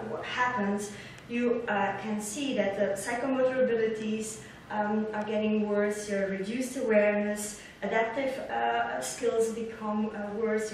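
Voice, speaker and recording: A middle-aged woman giving an academic conference lecture, explaining haltingly as she searches for words and repeats herself. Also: medium pitch. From Belgium. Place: large lecture hall, indoors, at a lectern. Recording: echoey hall from far off, background noise.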